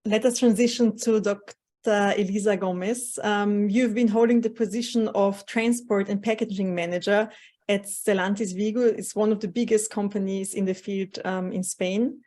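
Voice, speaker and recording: A woman's voice: mid-range voice, fairly even intonation, dark tone. Polite woman moderating an online panel. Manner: polite, measured questions. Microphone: video call microphone.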